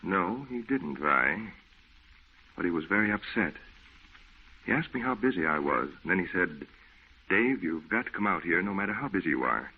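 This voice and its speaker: A hearty, folksy friend in a vintage radio play. His voice is low and slightly gravel-rough, with a reassuring, breezy delivery. It comes through thin and muffled, like an old broadcast. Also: lively intonation, moderate pace, long pauses, exaggerated voice. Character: breezy, reassuring male friend, hearty busy office man. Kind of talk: old-time radio drama acting. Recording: muffled, narrow-band old radio recording.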